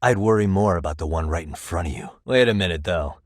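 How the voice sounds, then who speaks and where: A man's voice: deep voice, lively intonation, fast talker, no echo, studio quality. An American man voicing a cool, confident, wry private detective in a video game, with a casual, self-assured delivery. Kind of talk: video game dialogue acting.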